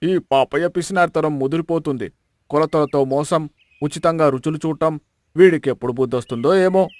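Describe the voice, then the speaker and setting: A man's voice: fairly high pitch, normal speed, darkish tone, no echo, lightly acted. A man playing a disgruntled villager in a moral story, complaining in a fed-up way.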